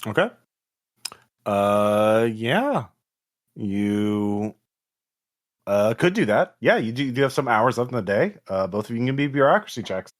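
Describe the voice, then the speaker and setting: A man speaking as game master, improvising narration for a tabletop game in a halting, conversational way. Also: fairly low pitch, big pitch swings.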